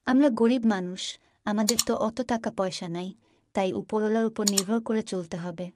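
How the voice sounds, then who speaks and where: A woman's voice: mid-range voice, normal speed, background noise, natural voice. A woman playing a patient, practical village mother in a cartoon, explaining things instructively. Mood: earnest. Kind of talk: cartoon voice acting.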